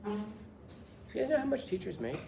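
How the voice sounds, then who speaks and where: A man's voice: sits high, normal speed, vocal fry. Blunt man lecturing a classroom. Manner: blunt, animated, rhetorical questions. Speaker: teacher. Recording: echoey classroom with faint room noise.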